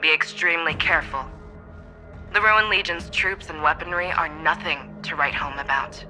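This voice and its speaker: An actor voicing a grave male military strategist who gives a measured, cautious briefing. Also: a little high, steady tone, bright, forceful tone, faint music behind, poor audio. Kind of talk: game voice acting.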